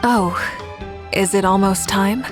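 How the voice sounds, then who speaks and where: A woman's voice: medium pitch, steady tone, slow, music in the background, close to an everyday voice. A woman playing a composed, kind female warrior leader in a video game, speaking in an assured, gracious way. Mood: gentle. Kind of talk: English game voice acting.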